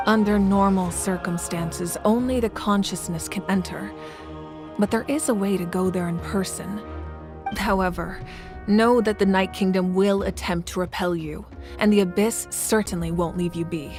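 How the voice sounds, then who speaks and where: An actress playing an authoritative female leader in a video game, measured and assured, with a friendly side. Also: medium pitch, fairly even intonation, over music, natural voice. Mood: grave, resolute. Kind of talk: video game character acting.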